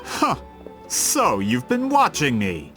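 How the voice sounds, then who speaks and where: An actor playing a scheming, vain merchant in a video game, with a theatrical, pompous, indignant manner. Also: male voice, mid-range voice, huge pitch swings, slow, a bit bright, soft background music, heavily acted. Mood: sneering. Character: scheming, vain male merchant with grand business ambitions.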